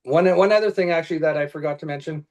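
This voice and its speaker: A man telling a relaxed story on a video call, pausing with fillers. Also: on the high side. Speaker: team member on a call.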